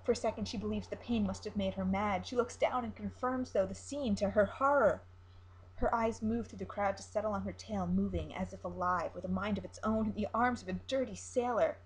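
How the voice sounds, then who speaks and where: A woman reading a story aloud as narrator, in an expressive amateur storytelling style. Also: medium pitch, brisk, rough recording.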